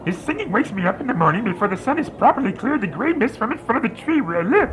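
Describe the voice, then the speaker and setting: A man's voice: extremely high pitch, very animated, fast talker. A man playing a fussy, indignant married possum in a radio play, complaining in a pompous, wordy way. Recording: noisy live radio recording.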